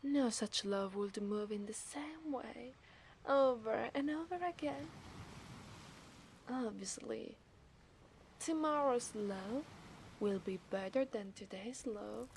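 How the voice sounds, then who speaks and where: A woman with an Italian accent voicing an obsessive, seductive mermaid in a teasing, breathy ASMR roleplay. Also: on the high side, a little hiss or hum. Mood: dreamy, devoted. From Italy. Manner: slow, teasing, breathy half-whispers.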